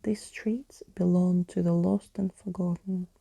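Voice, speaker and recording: A woman narrating a storybook in a soft, deliberate ASMR whisper. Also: on the low side, fairly even intonation, vocal fry, dry recording. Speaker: ASMR host reading a story aloud.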